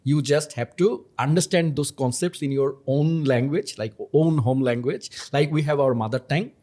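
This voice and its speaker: A man's voice: mid-range voice, normal speed. Bangladeshi host guy, bangla native, chatty, keeps repeating the guest back, stumbles a bit. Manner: energetic, repeats guest's points, stumbles over words, laughs. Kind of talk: casual podcast conversation.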